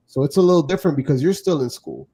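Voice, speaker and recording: A man's voice: a little high, very animated, racing, dark tone. A man hosting a podcast, asking loose questions packed with fillers. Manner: rambling, filler-heavy questions. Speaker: podcast host. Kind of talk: casual interview chat.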